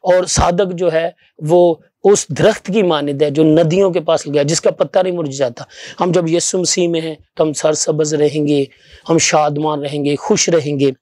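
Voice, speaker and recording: High-pitched pastor preaching. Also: male voice, steady tone, moderate pace, darkish tone, no room sound. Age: middle-aged. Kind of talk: televised Bible sermon, explanatory. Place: small TV studio, seated at a desk. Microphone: lapel mic.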